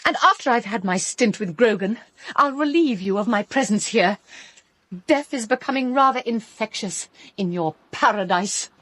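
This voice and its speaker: An actress playing a haughty, sharp-tongued British woman guest in a radio drama, with an arch, cutting manner. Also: fairly high pitch, expressive, moderate pace, no echo. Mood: tart.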